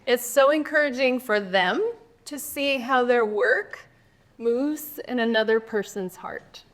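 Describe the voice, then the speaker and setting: Woman host, gracious scripted emcee, somewhat high voice. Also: slow. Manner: gracious, warm-worded, scripted introductions. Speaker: event host. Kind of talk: hosting a showcase.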